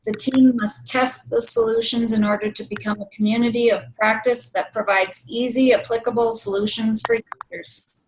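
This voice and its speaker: A woman with a slightly high voice presents her team's project. She reads steadily from her slides. She is speaking into a home video call. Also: slight background noise, poor audio. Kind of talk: reading a presentation.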